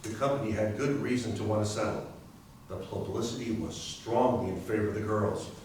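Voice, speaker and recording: Man playing a deep-voiced lawyer in an echoing hall. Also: fairly quick, a little mellow, close to an everyday voice. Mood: earnest, measured. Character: male lawyer recounting a hard-fought court case. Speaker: community theatre performer. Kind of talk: readers theater dramatic narration. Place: library readers theater performance, indoors. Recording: very echoey hall, distant, faint hiss.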